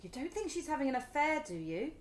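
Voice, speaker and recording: A woman's voice: high-pitched, big pitch swings, fast talker, echoey room. A woman with a British accent playing an anxious, easily stung middle-aged mother in a naturalistic radio play. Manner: fretful, emotive.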